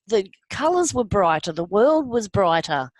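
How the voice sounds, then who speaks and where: A middle-aged woman, a guest giving an earnest personal account, often pausing with ums. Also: medium pitch, big pitch swings, no room sound. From Australia. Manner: earnest, halting with many ums. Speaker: interview guest. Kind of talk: personal testimony.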